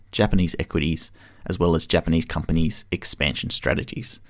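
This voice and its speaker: A man's voice: sits low, gravelly. A man hosting a podcast, reading an upbeat, enthusiastic introduction and welcoming his guest. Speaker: podcast host.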